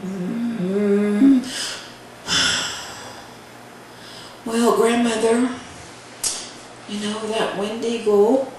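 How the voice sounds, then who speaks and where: A woman's voice: fairly high pitch, steady tone, extremely slow, dark tone. A woman voicing a mischievous little boy in a folktale, whiny and wheedling in a sing-song, exaggerated way. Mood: sheepish. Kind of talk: oral folktale storytelling. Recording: echoey room, distant mic, faint noise.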